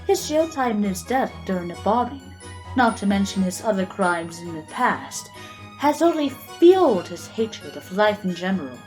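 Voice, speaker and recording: An actress playing a somber, judging witness giving testimony in a courtroom audio drama. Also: female voice, mid-range voice, very animated, normal speed, a little mellow, soft background music, close to an everyday voice, rough recording.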